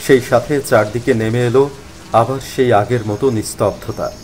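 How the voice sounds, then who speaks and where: A man's voice: medium pitch, fairly even intonation, normal speed, a little mellow, soft background music, rough recording. A man narrating a scripted horror story in a dramatic first-person storytelling style.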